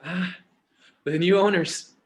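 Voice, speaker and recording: Casting: male actor as grown son, higher-pitched, hesitant, exasperated but fond, screen drama table read. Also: steady tone, takes it slowly. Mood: polite, guarded. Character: adult son, exasperated yet affectionate with his father.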